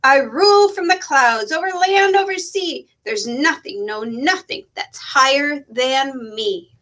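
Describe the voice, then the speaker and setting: Woman voicing a high, pompous storybook turtle king. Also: a little slow, fairly bright tone. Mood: indignant. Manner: pompous, exclaiming, commanding. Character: boastful, bossy, power-hungry male turtle king. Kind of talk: children's storybook read-aloud.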